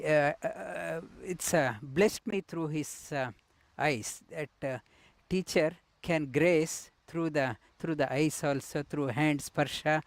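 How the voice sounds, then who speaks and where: A middle-aged man from India, a yoga teacher, telling his life story with many pauses, fillers and restarts. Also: a little high, dry recording. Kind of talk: personal life story interview.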